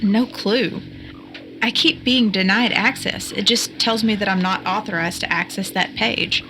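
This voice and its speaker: A woman's voice: medium pitch, steady tone, unhurried, bright, forceful tone. Woman as tech-savvy young sleuth, noisy background. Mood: concerned, intrigued. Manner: explanatory, conversational. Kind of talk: audio drama dialogue.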